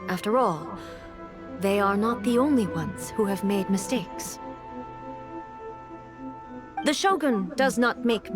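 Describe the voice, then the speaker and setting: A woman voicing a reserved, resolute goddess ruler in a video game, speaking formally and with measured solemnity. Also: fairly high pitch. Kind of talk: video game character acting. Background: background music.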